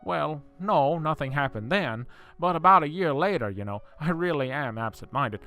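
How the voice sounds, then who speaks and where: A man's voice: a little high, animated, normal speed, pushed and bright, soft background music. A man playing an older, absent-minded professor in a horror audio drama, mild and matter-of-fact in manner. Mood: eerily chatty.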